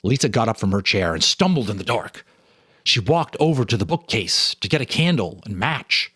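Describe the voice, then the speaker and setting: Husky low-voiced man reading a ghost story. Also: very animated, sharp tone, no echo, studio quality. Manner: suspenseful, deliberate phrasing with dramatic pauses. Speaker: storyteller, narrator and host.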